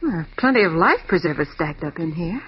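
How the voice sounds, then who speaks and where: Plucky detective's assistant, husky, lively. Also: female voice, lowish voice, brisk, exaggerated voice. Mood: curious, surprised. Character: sharp-eyed, plucky young female detective's assistant. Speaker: detective's sidekick in a radio drama. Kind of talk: 1940s radio acting. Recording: muffled old radio recording.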